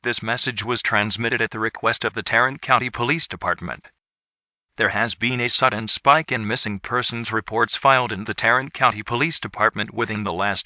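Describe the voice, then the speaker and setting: A man reading a scripted emergency announcement in a formal, impersonal, flatly official manner. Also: mid-range voice, no room sound.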